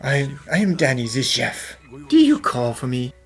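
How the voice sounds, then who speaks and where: A woman voicing an earnest, remorseful male chef and devoted father in a cartoon fan dub, halting and emotional. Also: a bass voice, big pitch swings, soft background music. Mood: humble. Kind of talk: fan-dub character acting, dramatic storytelling.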